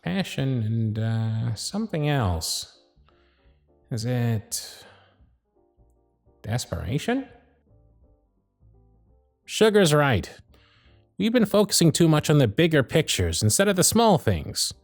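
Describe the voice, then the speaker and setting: Casting: male actor as gruff, fond elf boss for ASMR roleplay, sardonic, very lively intonation, dry close sound, music behind. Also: mid-range voice, slow pace, long pauses, no echo, heavily acted. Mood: musing, admiring. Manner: bossy, teasing, sardonic.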